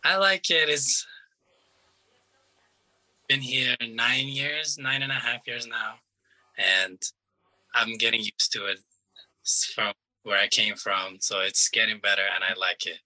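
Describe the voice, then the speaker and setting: A young man in his twenties from Iran, a soccer player answering interview questions in a relaxed, halting way with restarts. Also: medium pitch, poor audio.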